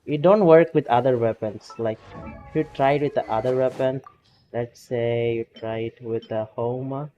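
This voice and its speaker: Non-native english man, streamer, halting chatter, mellow voice, faint game music behind. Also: medium pitch, steady tone, lo-fi sound. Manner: halting, filler-heavy, self-conscious. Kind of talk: casual live game commentary.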